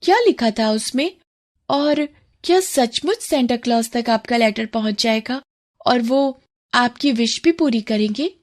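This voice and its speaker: A woman plays a poor little servant girl in a moral-story cartoon. The voice is high and childlike, with an innocent, earnest and pleading manner. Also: measured pace, close to an everyday voice. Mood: curious.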